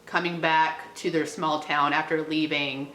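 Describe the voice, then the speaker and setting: A woman's voice: low voice, little pitch movement, unhurried, sharp tone. A young adult woman chatting casually about books as a vlogger, with a giggly, self-deprecating way of talking. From small town. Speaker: book vlogger. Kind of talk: casual chatty book recommendations. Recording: echoey untreated room.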